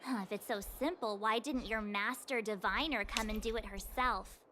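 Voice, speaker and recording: High-pitched, perky teenage girl. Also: moderate pace, pushed and bright, slight background noise. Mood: incredulous. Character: perky, blunt, skeptical teenage adventurer girl. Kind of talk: scripted video game voice acting.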